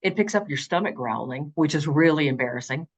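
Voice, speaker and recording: A woman's voice: sits low, expressive, a little fast, husky. A middle-aged woman giving conversational, emphatic interview answers as an audiobook narrator.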